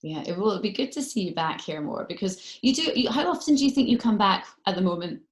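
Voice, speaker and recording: British female radio interviewer, medium pitch, chatty and gushing, informal video-call style. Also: very animated, brisk. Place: remote video call in London.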